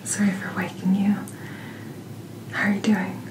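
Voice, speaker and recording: Whispering, slow-paced woman in soft ASMR roleplay. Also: medium pitch, completely flat delivery. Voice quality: soft, breathy, mostly whispered. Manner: gentle, unhurried, reassuring bedside manner. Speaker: ASMR performer playing a doctor. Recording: roomy sound, clear background noise.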